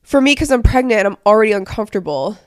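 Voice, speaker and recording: A woman's voice: medium pitch, expressive, racing, darkish tone, smooth, no room sound, clean studio sound. A young woman co-hosting a podcast, congested from a cold, chatting casually and rambling with many fillers. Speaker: podcast co-host. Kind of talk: casual chat.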